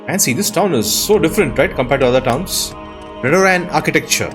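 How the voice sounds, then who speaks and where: A man's voice: on the high side, expressive, brisk, music in the background. A man giving casual game commentary, musing aloud and exclaiming at the sights. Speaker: let's player and show host.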